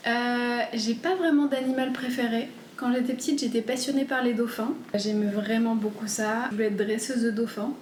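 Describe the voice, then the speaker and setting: Thirty-something woman vlogging at home, kinda high voice, chatty with lots of ums, roomy echo. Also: slight background noise. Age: 30s. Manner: gentle, chatty, frequent hesitations. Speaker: vlogger answering viewer questions. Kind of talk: casual FAQ chat.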